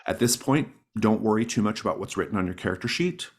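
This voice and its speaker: A man's voice: on the low side, lively intonation, fast talker. A man speaking casually and encouragingly as a game master, explaining rules and setting to his players. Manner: encouraging, affirming players' ideas.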